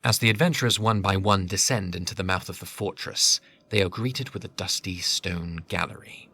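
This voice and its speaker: A man narrating a fantasy audio drama from a script, with a measured storytelling delivery. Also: sits low, bright, forceful tone.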